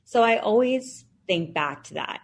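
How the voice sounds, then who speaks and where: A woman's voice: medium pitch, expressive, unhurried, vocal fry. A woman in her thirties, a podcast guest and founder, answering interview questions in an earnest, reflective way with frequent fillers. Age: 30s.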